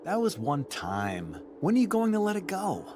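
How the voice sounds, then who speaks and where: An actor playing a gentle, teasing middle-aged father in a naturalistic video game drama, with a playful, reassuring manner. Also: sits high, huge pitch swings, normal speed, music in the background. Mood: teasing, fond.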